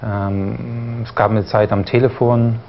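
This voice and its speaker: A man's voice: medium pitch, level tone, slow pace, gravelly. A young man from East Germany, a talk show guest who stutters now and then and talks with many fillers and restarts. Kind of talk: studio interview. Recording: dull broadcast audio with faint noise.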